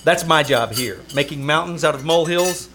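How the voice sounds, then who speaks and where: A man's voice: a little high, normal speed, lightly acted. A man playing a world-weary Hollywood publicist with dry, wisecracking delivery in 1950s radio comedy style. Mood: wry. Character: wry, world-weary male Hollywood publicist, war veteran. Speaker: actor in old-time radio troupe. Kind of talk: 1950s radio comedy acting, live on stage. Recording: noisy live stage recording.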